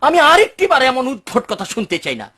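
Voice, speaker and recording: Arrogant rich man, strikingly high-pitched. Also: huge pitch swings, a little fast, sharp tone, husky, full character voice. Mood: indignant, defiant. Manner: theatrical, swinging from mocking to wailing. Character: arrogant, boastful rich man who owns two orchards. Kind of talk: animated moral story dialogue.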